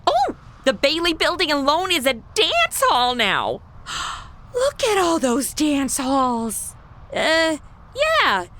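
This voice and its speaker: A woman's voice: sits high, huge pitch swings, takes it slowly, fairly bright tone, full character voice. A woman playing a brash, flippant young angel trainee with sassy modern slang in an audio comedy. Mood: flippant, wry. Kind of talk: comedy audio drama acting.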